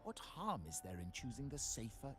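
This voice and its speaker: An actor playing a scheming middle-aged uncle who heads a family, smooth and quietly threatening, in a video game. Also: male voice, medium pitch, big pitch swings, moderate pace, close to an everyday voice. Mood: coaxing menace. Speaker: game character. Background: game music.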